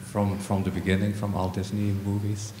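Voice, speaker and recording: A man's voice: fairly low pitch, monotone, normal speed. A male journalist asking a question from the floor at a press conference. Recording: echoey room with background noise.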